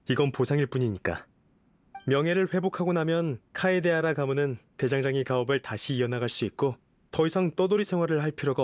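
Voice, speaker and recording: An actor playing a courteous young noble official in a game, composed and reassuring, speaking in a measured, formal way. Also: male voice, mid-range voice, a little mellow, no room sound, natural voice. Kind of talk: game voice acting. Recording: music behind the voice.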